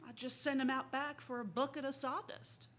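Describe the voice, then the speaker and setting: A woman's voice: sits high, flat delivery, moderate pace, fairly bright tone. A woman playing a brusque, folksy frontier saloon keeper in a Western radio drama. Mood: wry, guarded.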